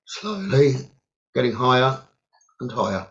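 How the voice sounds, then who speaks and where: A man leading a guided meditation in short, halting phrases with long pauses and a creaky voice. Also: medium pitch, sing-song, fairly bright tone. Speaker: guided meditation leader, psychic medium. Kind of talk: slow guided meditation narration. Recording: dull, lo-fi sound.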